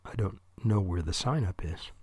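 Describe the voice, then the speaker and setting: A man voicing a slow, confused teenage boy who is new to debate and answers hesitantly. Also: sits low, a little mellow, slightly rough, no room sound, studio quality. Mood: puzzled. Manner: hesitant, blank, slow on the uptake.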